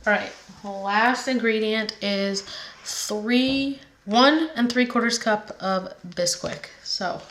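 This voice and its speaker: A woman's voice: fairly high pitch, slow, a bit bright. A woman talks her way through a home kitchen recipe in a loose, chatty vlog manner, full of little fillers and asides. Her voice has a stuffy, nasal edge from a fading cold. The kitchen is echoey, with faint background noise. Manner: chatty, rambling, laid-back with many fillers. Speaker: home cooking channel host. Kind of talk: casual cooking vlog.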